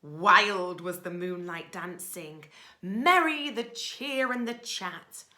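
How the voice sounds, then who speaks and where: A woman teacher reads a children's story with great expression. Her delivery is unhurried and very animated, bright in tone, with dramatic shifts between projected lines and hushed asides. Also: lowish voice.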